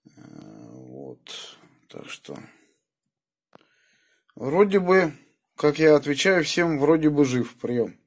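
Guy w a cold chatting on ham radio, raspy throat, kinda subdued, pitch moves around a lot. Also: very animated, slow, pauses often. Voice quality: husky. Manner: low-key, subdued. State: has a cold, sore throat. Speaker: amateur radio operator. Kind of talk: casual radio chat. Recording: FM two-way radio transmission.

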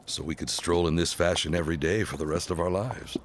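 A man playing a courtly, smitten suitor in a period audio drama, speaking in florid, formal, drawn-out phrases. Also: sits low, noisy background, lightly acted. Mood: courting.

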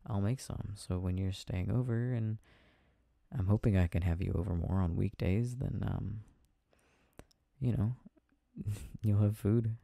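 A man playing an affectionate, tired boyfriend in an intimate ASMR roleplay, chatting softly and hesitantly with a playful, teasing manner. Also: lowish voice, unhurried, gravelly, pauses often, no echo, studio quality. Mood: shy, affectionate. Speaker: audio roleplay performer.

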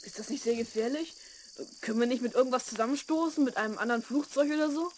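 A woman playing a curious, excitable twelve-year-old boy in a children's audio drama, wide-eyed and eager. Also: on the high side, fast talker, slight background noise, lightly acted. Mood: uneasy. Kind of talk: 1970s German children's audio drama acting.

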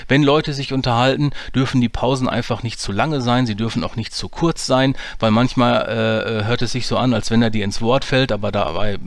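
A man hosting a screen-recorded software tutorial, explaining his editing steps casually with frequent hesitations. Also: medium pitch, steady tone, fairly quick, hardly any pauses, dry recording. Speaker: audio drama producer and tutorial host.

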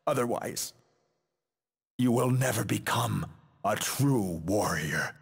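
A man's voice: lowish voice, lively intonation, slow, creaky, slightly rough, big-room sound, exaggerated voice. An actor playing a proud, menacing male warrior in a fighting video game, delivering theatrical, haughty lines full of disdain for weaker foes. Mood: solemn, instructive. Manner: haughty, declamatory, dramatic.

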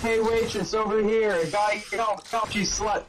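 A man doing a mocking dramatic reading of fan fiction, halting and stumbling over words, with casual sweary asides. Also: sits high, little pitch movement, loud music behind the voice.

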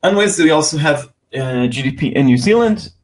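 A man's voice: on the high side, expressive, fairly quick. A man in Europe giving an unscripted weekly currency market preview over slides, with frequent fillers and restarts. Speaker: market analyst.

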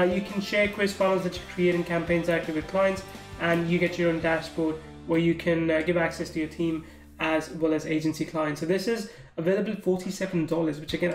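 A man with a high voice and fairly even intonation talks through product pages, casual and thinking aloud. His voice sounds roomy and echoey, with faint guitar music underneath. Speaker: software reviewer. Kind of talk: screen-recorded product walkthrough.

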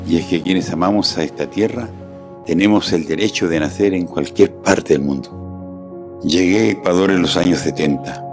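An older man from Chile with a Chilean accent, narrating a scripted testimonial in a slow, heartfelt and reflective way. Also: sits low. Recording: voice-over with clear background music.

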